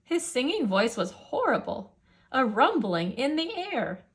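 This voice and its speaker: A woman with a high voice reads a rhyming story for children. Her pace is slow and measured, with expressive storyteller phrasing. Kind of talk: rhyming picture-book read-aloud for children.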